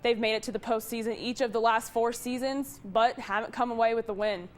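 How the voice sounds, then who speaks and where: A woman television sports reporter delivering scripted highlights and team news. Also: steady tone, fairly quick, a little hiss or hum.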